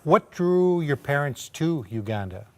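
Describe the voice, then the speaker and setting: A man's voice: a little high, expressive, unhurried. A man hosting a talk show interview, putting questions and recapping the guest's story in a conversational way.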